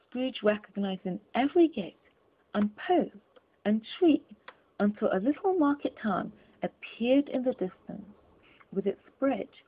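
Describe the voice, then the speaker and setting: A woman reading classic literature aloud as an audiobook narrator, in an expressive, deliberate storytelling manner. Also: on the high side, breathy edge, phone-quality sound. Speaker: audiobook reader.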